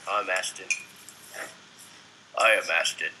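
A man's voice: deep voice, steady tone, slow. A young man chatting casually on a morning livestream from a living room, sleepy and joking. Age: young adult. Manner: sleepy, joking, laughs often. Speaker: band member on livestream. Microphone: laptop webcam mic. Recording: noisy webcam audio.

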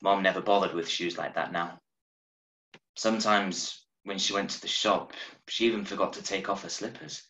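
A man in his thirties reading a short story aloud in a measured, dramatic way, recorded at home over a video call. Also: low voice, fairly even intonation, pushed and bright. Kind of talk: expressive audiobook-style story narration. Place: small room at home, indoors. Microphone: video call from a desk, wearing earphones. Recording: roomy, echoing home webcam recording.

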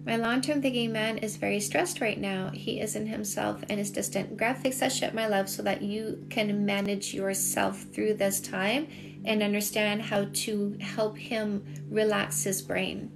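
A woman's voice: mid-range voice, fairly even intonation, measured pace, creaky, music in the background. A middle-aged Canadian woman with a Canadian accent, chatting casually on a livestream as a coach, reading and answering comments and repeating points for emphasis. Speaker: dating coach and livestream host. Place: small home room with shelves, indoors. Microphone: phone at arm's length.

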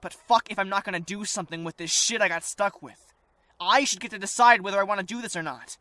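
A performer acts out a wounded, defiant teenage boy in a zombie apocalypse drama. The voice is bright and tense, with an earnest, insistent delivery that sounds dramatic and raw. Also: medium pitch, fast talker, dry recording, close to an everyday voice. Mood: indignant. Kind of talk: dramatic audio acting for visual-novel fan series.

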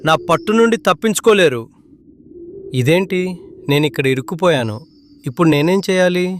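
A man's voice: on the high side, expressive, a little fast, slight background noise, lightly acted. A man voicing a ruthless predatory eagle, a threatening villain in a children's cartoon. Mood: menacing, frustrated.

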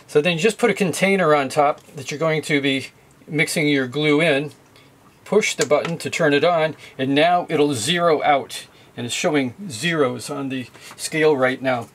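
A man's voice: on the high side, slight background noise. Methodical middle-aged man demonstrating. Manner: plain, methodical explaining with occasional fillers. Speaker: sailing how-to video host. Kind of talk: hands-on demonstration. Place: boat galley at a sink.

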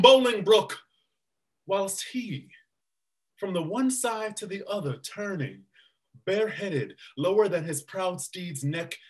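A man playing a grave, loyal duke, telling the story in measured verse on a video call. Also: high-pitched. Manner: measured storytelling, bursting into alarm. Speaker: actor in a staged reading. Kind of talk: Shakespeare verse reading. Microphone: webcam on a video call.

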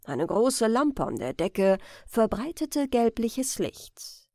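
Female audiobook narrator, crime fiction, animated intonation, slow pace. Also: fairly high pitch, no room sound, clean studio sound. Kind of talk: scripted crime story narration.

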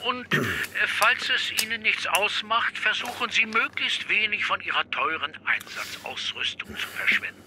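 A man's voice: high-pitched, animated, normal speed, hardly any pauses. A man playing a stern older British secret-service superior, formal and dry, heard through a phone effect in an audio drama. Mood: worried, dry. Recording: noisy phone-call effect.

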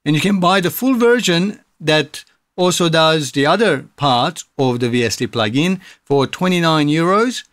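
A man in his thirties giving a casual software tutorial, explaining things step by step. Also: on the high side, lively intonation, moderate pace, no echo, clean studio sound. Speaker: tutorial presenter.

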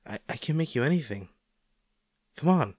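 A man's voice: mid-range voice, animated, normal speed, a little mellow, no echo, studio quality, lightly acted. A man acting a caring, worried boyfriend in an intimate audio roleplay, speaking tenderly and coaxingly to his injured partner. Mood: gentle, soothing. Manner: tender, coaxing, hesitant, speaking directly to the listener.

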